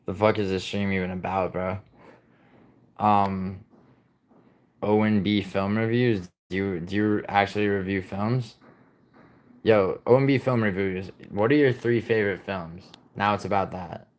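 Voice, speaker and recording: Low-voiced, rambling, foul-mouthed streamer man. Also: steady tone, unhurried. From born in Italy, raised in New York. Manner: rambling and profane, with many "like" and "I mean" fillers and restarts. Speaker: livestreamer chatting with viewers. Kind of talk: casual live-stream chat, reading out and answering chat messages.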